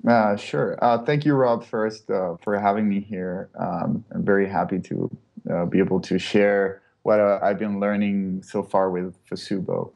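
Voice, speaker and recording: A man's voice: sits low, steady tone, a little slow, creaky, a little mellow, highs cut off. A man from Chile, a startup co-founder, answering interview questions in a hesitant, casual way with many fillers and restarts.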